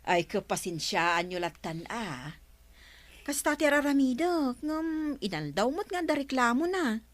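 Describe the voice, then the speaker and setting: A woman's voice: high-pitched, sing-song, measured pace. An actress playing a put-upon, chatty village woman in an Ilocano comedy radio drama, with an Ilocano accent and plaintive interjections.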